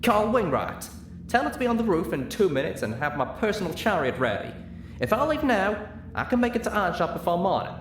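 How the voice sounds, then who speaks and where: Guy doing a folksy older pony lady for a story, worked up and emphatic, way high, big swings, roomy sound. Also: sing-song, brisk, slight background noise. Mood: angry, determined. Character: furious, heartbroken older pony mare, country-talking ministry boss.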